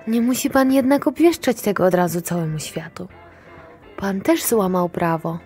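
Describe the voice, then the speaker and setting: A woman's voice: medium pitch, lively intonation, moderate pace, mellow, soft background music, natural voice. An actress playing a wary, cynical, sweary woman moonlighting in underground sex work, in a radio play. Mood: irritated, wary. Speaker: actress in an audio drama.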